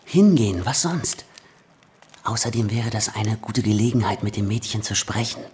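Man acting a low-voiced, earnest mentor friend. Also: lively intonation, normal speed, darkish tone, a little hiss or hum, close to an everyday voice. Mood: firm. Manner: urgent, instructing. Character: earnest, cautious journeyman, protective male friend and mentor. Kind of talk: German radio play acting.

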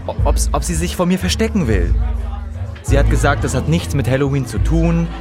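An actor playing a sullen, defensive young boyfriend in a radio drama, speaking haltingly. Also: male voice, fairly high pitch, lightly acted. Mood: defensive, bitter. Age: young adult. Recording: lively crowd and music behind.

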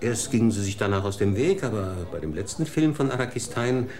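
A gossipy, knowing broadcasting official with a fairly low voice. He shares inside news in a chatty, confiding manner in a radio drama. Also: lively intonation, brisk, darkish tone.

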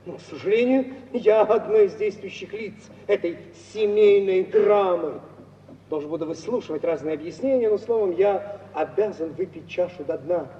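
A man as a high-voiced, scheming, theatrical husband. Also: lightly acted. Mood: mock-tragic. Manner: declamatory and mock-tragic, turning brisk and dismissive. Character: cynical scheming husband, theatrically injured, coolly self-serving. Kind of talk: 1950s Russian radio theatre acting. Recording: old dull hissy radio recording, distant, reverberant.

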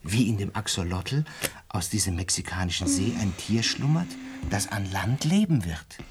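A man's voice: on the low side, lively intonation, normal speed, noisy background, close to an everyday voice. An actor playing a pensive, resigned man in a radio play, recounting and explaining things in a hesitant, musing way. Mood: earnest explaining. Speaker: narrator and lead character of a radio play. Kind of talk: intimate reflective radio drama narration and dialogue.

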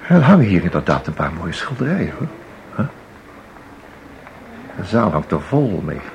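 A man's voice: low voice, very animated, moderate pace, gravelly, full character voice, poor audio. A man acting in a Dutch radio play as a chatty, flustered ordinary art lover who rambles hesitantly and repeats himself. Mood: chatty, eager. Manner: hesitant, rambling, full of repetitions and tag questions. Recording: audible background noise.

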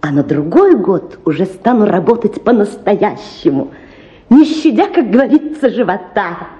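A woman's voice: mid-range voice, sing-song, normal speed, dark tone, lo-fi sound, natural voice. A woman playing a spirited, dreamy young lady idealist in a theatrical radio drama, effusive and self-assured. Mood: eager, assertive.

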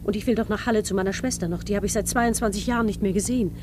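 A woman's voice: medium pitch, fairly even intonation, rapid-fire, natural voice. Woman playing a chatty air traveler, noisy backdrop. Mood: chatty, concerned. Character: chatty traveling woman mixing English into German, anxious about her visa. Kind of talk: German radio play acting.

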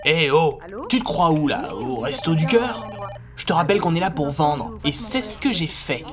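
A woman's voice: deep voice, lively intonation, normal speed, over music. A woman playing a cynical, pragmatic, sales-driven office colleague with a brash, dismissive manner in an audio drama. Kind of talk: scripted audio-drama acting.

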